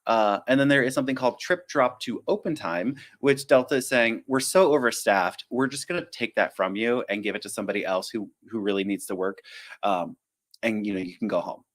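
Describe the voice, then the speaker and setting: A man's voice: fairly low pitch, a little fast. A man, a flight attendant hosting a casual live-stream Q&A, chatty and self-deprecating, drifting into tangents and acting out little quotes.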